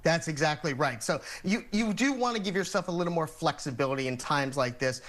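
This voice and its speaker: An American man speaking as an expert guest in a TV news interview, explaining things in a measured, methodical way. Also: on the high side, lively intonation, a bit bright.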